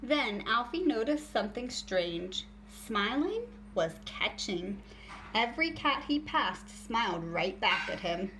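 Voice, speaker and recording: A woman teacher reading a picture book aloud to young children in an expressive, deliberate storytelling way. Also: fairly high pitch, slight background noise, poor audio.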